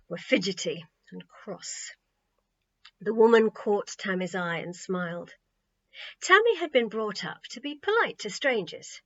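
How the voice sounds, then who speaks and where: A woman narrates a children's audiobook with expressive, scripted storytelling. Her voice sits at a middle pitch. The sound is a little dull and muffled.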